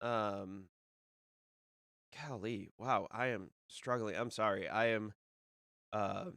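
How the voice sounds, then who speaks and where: A young man talks on his own as the host of a casual show, rambling and restarting his sentences. He sounds a bit nasal from a cold. His voice is smooth and resonant, with a dry, close sound and no room echo. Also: fairly low pitch, fairly even intonation, takes it slowly, a bit bright. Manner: rambling, with many fillers and restarts. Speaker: disc golf podcast host. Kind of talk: casual solo live-stream talk.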